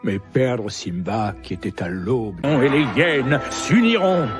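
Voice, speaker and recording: A man voicing a scheming, haughty lion king in an animated film, pompous and theatrical. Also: mid-range voice, slow pace. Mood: mock grief. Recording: music behind the voice.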